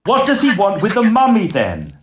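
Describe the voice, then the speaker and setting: Man acting a high-voiced, animated young student. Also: slight background noise. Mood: puzzled, curious. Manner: brisk, blunt, animated. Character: brisk, practical young medical student, level-headed and blunt. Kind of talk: acted audio drama dialogue.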